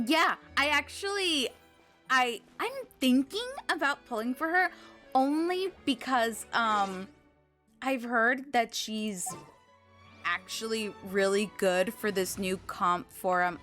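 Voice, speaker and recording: Slow-paced, higher-voiced woman livestreamer. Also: bright, forceful tone. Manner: gushing, thankful, girlish slang. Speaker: video game livestreamer. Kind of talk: casual chat with stream viewers. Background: game music.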